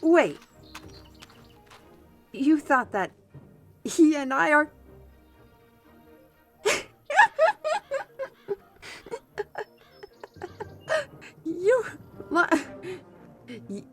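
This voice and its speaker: A woman playing a spirited, good-humored artist and adventurer in a fantasy audio drama, with a playful, expressive delivery. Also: high voice, extremely slow, long pauses, exaggerated voice. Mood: flustered, amused. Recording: music under the voice.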